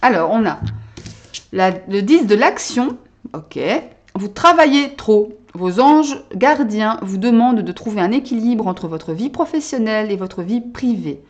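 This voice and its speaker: A woman's voice: a little high, animated, a little slow, muffled recording. A woman giving a casual tarot reading to her viewers, chatty and full of little checks like "hein" and "d'accord?". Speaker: tarot card reader. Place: Belgium.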